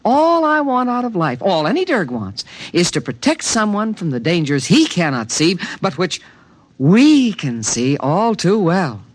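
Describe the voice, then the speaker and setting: An earnest alien guardian creature. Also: male voice, high-pitched, very animated, measured pace, no room sound, full character voice. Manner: precise, matter-of-fact, earnest. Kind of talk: 1950s radio drama acting. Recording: dry studio, background music.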